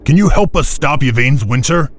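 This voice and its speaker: A man's voice: medium pitch, animated, moderate pace, lightly acted. A man playing an earnest, loyal warrior companion in a video game. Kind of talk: dramatic video game acting.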